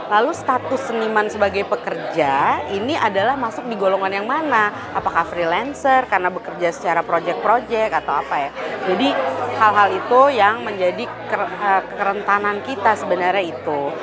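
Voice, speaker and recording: A woman speaking thoughtfully in an interview, pausing and searching for words. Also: medium pitch, lively intonation, a loud crowd. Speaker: panel speaker. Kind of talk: interview statement.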